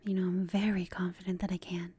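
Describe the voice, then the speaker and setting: Casting: actress as teasing female villain, ASMR roleplay. Very breathy whisper, soft mellow tone, coaxing and confident, close and dry. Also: on the low side, flat delivery, brisk. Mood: flirty, confident. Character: flirtatious, teasing female villain, confident yet secretly inexperienced. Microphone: close mic, dry.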